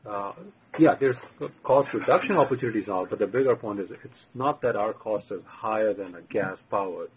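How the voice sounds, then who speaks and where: Male speaker on an earnings call, fairly low voice, narrow phone connection with slight hiss. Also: a little fast. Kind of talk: unscripted answer on an earnings call. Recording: noisy, thin conference phone line.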